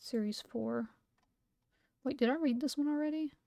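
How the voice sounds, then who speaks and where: A woman streamer with a fairly high voice reads entries aloud and then chats casually between them. She sounds slightly hoarse, as if she has been talking for a long time. The close mic at her home setup keeps the sound dry. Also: normal speed, mellow, no echo. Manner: skips text with "blah, blah" asides. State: losing her voice. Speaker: livestreamer.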